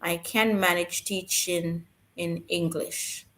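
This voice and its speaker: A woman's voice: fairly low pitch, fairly even intonation, takes it slowly, a bit bright. Echoey woman explaining teaching rules. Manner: repeats key points to stress them. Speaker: live stream co-host answering teacher questions. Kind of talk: casual live stream Q&A.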